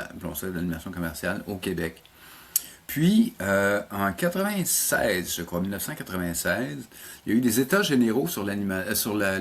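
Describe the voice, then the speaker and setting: A man's voice: fairly low pitch, slightly rough. A middle-aged man with a Quebec French accent, answering interview questions conversationally and often repeating words as he recounts. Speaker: college animation teacher, interview guest.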